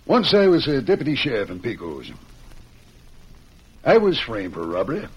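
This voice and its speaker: An actor playing an earnest, firm but kind prison warden in the Old West, in a measured radio western style. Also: male voice, medium pitch, wildly swooping pitch, slightly rough, lo-fi sound, exaggerated voice. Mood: quietly confiding. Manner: persuasive, measured, often addresses listener by name.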